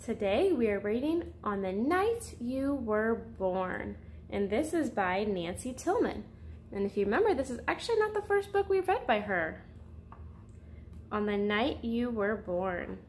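A woman's voice: fairly high pitch, sing-song, a little slow, a little mellow, slight background noise. A woman reading a picture book aloud as a children's story-time host, speaking directly to her young listeners.

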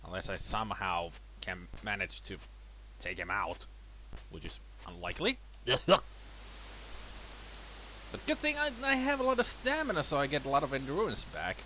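A man's voice: moderate pace, pauses often, a little hiss or hum, rough recording. A man with a cold and a slightly worn voice, casually commenting on his own boxing gameplay in a self-deprecating way. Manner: chatty, self-deprecating, lively swings in pitch. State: has a cold, voice a bit worn. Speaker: let's play gamer.

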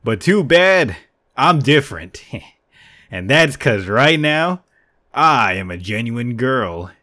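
A man's voice: medium pitch, sing-song, slow pace, pushed and bright, full character voice. A man voicing a self-conscious, defensive young male gamer in a manga dub. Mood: smug.

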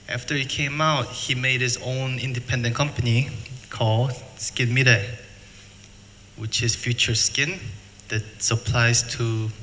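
A middle-aged man from the United States presenting at a seminar, speaking plain, halting English and repeating words. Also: medium pitch, fairly bright tone. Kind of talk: conference presentation. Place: hotel function room. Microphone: table mic, filmed from afar. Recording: reverberant hall, faint noise.

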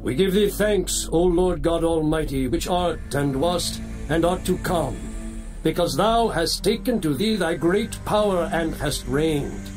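An actor playing a reverent elder giving thanks in a dramatized scripture recording, slow and drawn out. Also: male voice, a little high, lively intonation. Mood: worshipful. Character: elder praising God, reverent and exalted. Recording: distant voice over music.

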